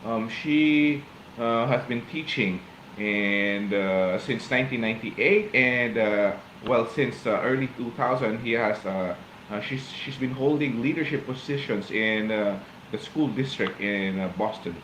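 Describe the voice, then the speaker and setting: A man with a Filipino accent hosting a live online interview, speaking casually and haltingly with many fillers and restarts. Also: medium pitch, a bit bright, slight background noise. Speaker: host of a live online show. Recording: roomy echo.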